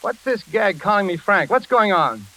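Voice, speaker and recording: An actor playing a brisk, slangy engineer who frets over his friend in a 1940s radio drama. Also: high-pitched, sing-song. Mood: puzzled, insistent. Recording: noisy, crackling vintage radio recording.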